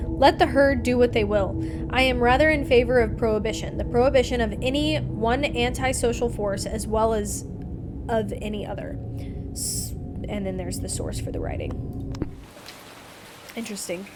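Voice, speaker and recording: A woman live streamer giving casual commentary on a game and reading its text aloud. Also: on the high side. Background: game music.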